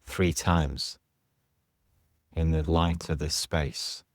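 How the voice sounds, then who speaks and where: Very deep, slow male meditation guide. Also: level tone, no room sound, clean studio sound. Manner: soothing, unhurried, pausing between short phrases. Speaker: yoga nidra teacher guiding a meditation.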